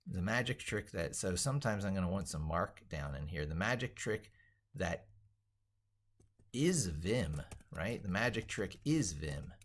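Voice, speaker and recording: Deep-voiced man thinking aloud on a livestream. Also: animated, unhurried. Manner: rambling, thinking aloud while working at computer. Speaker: livestream host, tech educator. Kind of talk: casual livestream screen walkthrough with reading aloud.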